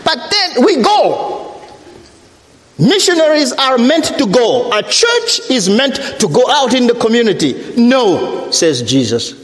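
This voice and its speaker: A middle-aged man from Africa with a French accent, preaching a sermon as a guest missionary, deliberate and repeating his points. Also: very animated. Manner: deliberate, repeats phrases, rises in pitch when preaching. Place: church sanctuary. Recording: echoey church hall.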